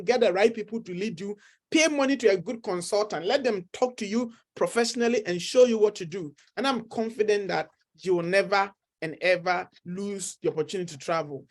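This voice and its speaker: A middle-aged man with a Ghanaian accent, a study-abroad consultant earnestly encouraging viewers and repeating his key points. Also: sits high. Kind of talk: persuasive talk to camera.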